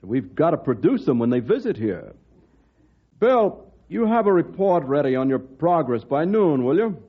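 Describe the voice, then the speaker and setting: An actor playing a genial but official middle-aged colonel with an American accent in an old-time radio drama. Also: male voice, a little high, sing-song, moderate pace. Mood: official, firm. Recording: muffled, noisy old radio recording.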